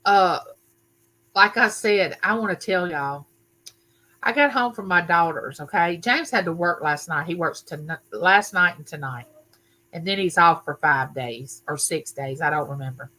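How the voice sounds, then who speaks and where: Older woman, livestream host; loud, bright, sharp-edged voice, chatty and welcoming by name. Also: fairly low pitch, measured pace, rough recording. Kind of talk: casual live chat with viewers. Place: home room used for filming.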